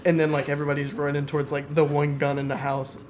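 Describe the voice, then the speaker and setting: A man chatting casually about video games on a podcast, with clear background noise. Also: a little high, monotone, quick pace, darkish tone. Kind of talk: casual gaming podcast chat.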